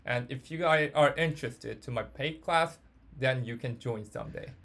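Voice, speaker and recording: A man with a Korean accent teaches an informal online language class in halting, repetitive English as a non-native speaker. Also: mid-range voice, creaky, fairly bright tone. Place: online group audio call.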